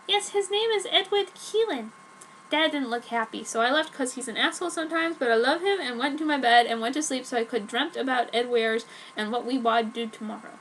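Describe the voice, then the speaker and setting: A woman's voice: on the high side, fairly quick, few pauses, a bit bright, rough recording. A woman giving a dramatic reading of a fan fiction story. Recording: noticeable background noise.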